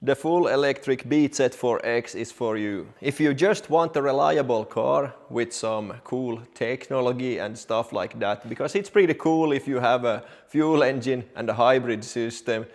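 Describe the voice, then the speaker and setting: A man's voice: medium pitch, moderate pace, hardly any pauses. A man from Finland speaks in a mid-range voice. He hosts an explanatory car vlog as a technician, addressing viewers directly in plain-spoken, English. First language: Finnish or Swedish. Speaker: car technician and video host.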